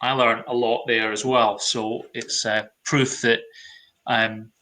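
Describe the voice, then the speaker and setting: A middle-aged Scottish man, a brand consultant, talking in a webinar at a measured pace with frequent ums. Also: mid-range voice, flat delivery, bright, forceful tone, a little hiss or hum. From Scotland. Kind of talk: webinar talk.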